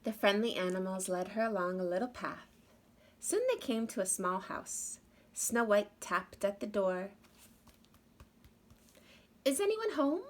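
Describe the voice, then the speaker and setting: A woman's voice: mid-range voice, fairly even intonation, moderate pace. A woman reading a children's story aloud expressively, as if to young listeners. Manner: addresses young listeners with questions. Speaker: storybook reader.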